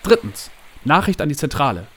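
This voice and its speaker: A man voicing a greedy, bombastic trading company boss in an audiobook, pompous and forceful in manner. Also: on the high side, expressive, a little fast, pitched above the performer's own voice, natural voice. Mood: commanding. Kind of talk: dramatic audiobook storytelling.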